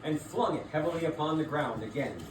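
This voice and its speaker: A man's voice: a little high, fairly quick. A man giving dramatic scripted narration of a story as part of a stage reading. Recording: echoey noisy room, distant mic.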